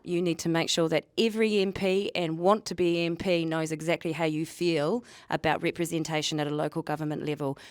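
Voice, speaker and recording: A woman's voice: deep voice, dry recording, clean studio sound. A woman speaking emphatically and argumentatively at a public forum, repeating words for stress.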